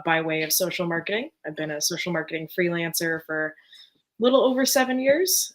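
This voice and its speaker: A woman's voice: fairly low pitch, fairly bright tone, rough recording. A woman speaking as a guest on a podcast over a video call, upbeat and joking as she chats. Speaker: podcast guest, business owner. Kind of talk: casual panel chat.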